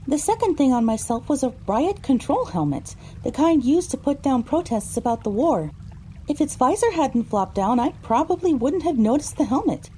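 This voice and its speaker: A woman playing a pony who narrates her own adventure in a measured, descriptive storytelling style. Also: high voice, sing-song, mellow, a little hiss or hum, low-quality recording. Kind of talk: scripted first-person story narration.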